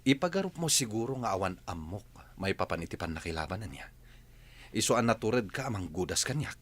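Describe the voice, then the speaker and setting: Deep, stern Ilocano man. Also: sing-song, brisk, a bit bright, slightly rough, heavily acted. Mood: stern, accusing. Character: stern, righteous man confronting his betrayers. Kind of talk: Filipino radio drama acting.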